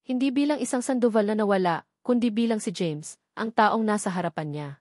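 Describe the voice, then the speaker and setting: A woman narrates a scripted romantic drama. Her voice sits at a medium pitch, and the recording is dry with little room sound. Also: fairly quick, darkish tone, dry recording.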